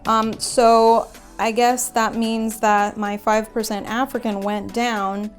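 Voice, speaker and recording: A woman's voice: on the high side, level tone, takes it slowly, faint music behind. A young woman from Puerto Rico, chatting casually and thinking aloud as she reads out her test results. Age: young adult. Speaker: vlogger. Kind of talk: casual reading and commentary on DNA results.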